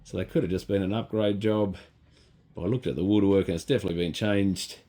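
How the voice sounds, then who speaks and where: A man's voice: sits low, steady tone, fast, warm tone. A man with an Australian accent giving casual, unscripted hands-on commentary as a repairer, musing in an understated way. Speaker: electronics repairer presenting his channel.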